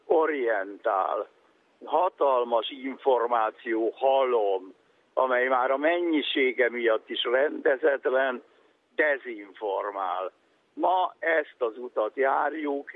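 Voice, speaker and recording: A man giving an explanatory talk on education in a didactic way, listing points with emphasis. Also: medium pitch. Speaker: education and psychology speaker. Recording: tinny phone-like radio sound, faint noise.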